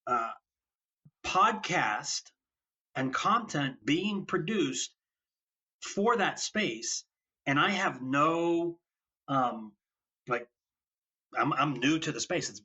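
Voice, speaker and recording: Husky, enthusiastic man on lo-fi audio. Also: on the high side, pushed and bright. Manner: enthusiastic, thinking aloud with repeats. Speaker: podcast host. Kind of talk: conversational interview. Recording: dull lo-fi sound.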